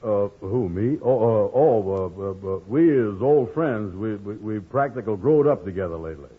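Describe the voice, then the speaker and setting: An actor playing a slow-witted, nervous witness who stammers hesitantly in dialect, in 1940s radio comedy style. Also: male voice, lowish voice, expressive, lightly acted. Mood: nervous, evasive. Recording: muffled old radio recording.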